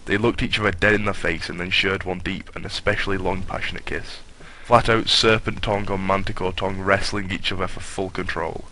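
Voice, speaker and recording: A man's voice: low-pitched, level tone, a little fast, few pauses, sharp tone, slight background noise, poor audio. A man narrating a comic story as a hammed-up dramatic reading, in a mock-grandiose manner with offhand asides.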